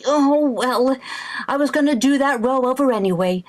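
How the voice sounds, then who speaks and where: Lady acting a flighty scheming housewife, old radio sitcom style, high voice that swoops all over. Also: lively intonation, moderate pace. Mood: flustered. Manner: theatrical, exclamatory, mock-innocent.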